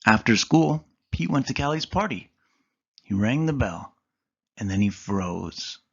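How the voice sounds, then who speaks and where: A man reading a children's picture book aloud with expressive storytelling. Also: lowish voice, a little slow, creaky, slightly rough, muffled recording.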